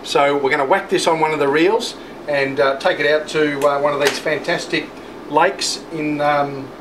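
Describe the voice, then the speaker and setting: A middle-aged man with a fairly high voice presents a casual tour, explaining as he goes in a chatty way. He sounds echoey and set back from the microphone, in a loud factory hum. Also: steady tone, moderate pace, recorded from a distance. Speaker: host presenter. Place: indoors in a large factory and workroom.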